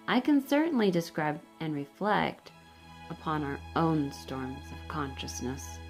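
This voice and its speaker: Slow, creaky middle-aged woman reading aloud. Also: lowish voice, darkish tone. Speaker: reader of a book for online videos. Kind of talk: unhurried read-aloud narration of a book. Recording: music behind the voice. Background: faint background music.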